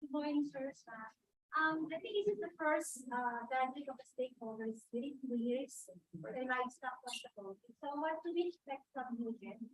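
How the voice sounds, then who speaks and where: A woman's voice: high voice, normal speed, a little hiss or hum, rough recording. A woman reporter asking a polite, somewhat hesitant question at a press conference.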